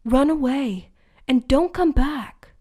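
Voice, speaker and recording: A woman voicing a kind, frightened male hunter in a bedtime story, speaking in a gentle, pleading way. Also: high voice, slow pace, voice pushed up.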